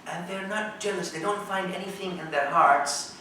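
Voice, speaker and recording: A man's voice: sits high, normal speed, bright, forceful tone, slight background noise. A man giving a religious lecture to a live audience, explaining a verse piece by piece and quizzing his listeners. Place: lecture room in a college. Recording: very echoey room, mic at a distance.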